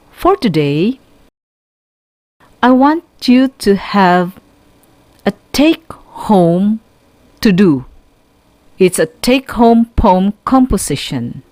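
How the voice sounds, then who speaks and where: A woman with a Filipino accent giving an instructional radio lesson in a deliberate, teacherly way. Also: mid-range voice, darkish tone, no echo.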